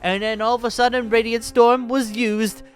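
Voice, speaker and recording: A man's voice: very high-pitched, little pitch movement, normal speed, smooth, faint music behind. A man playing an exuberant, boastful dragon-slayer wizard in a Minecraft roleplay, speaking in a pompous, genteel and theatrical manner. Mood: gleeful, boastful. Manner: theatrical, flamboyant, cackling, pompous. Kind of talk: improvised Minecraft fantasy roleplay acting.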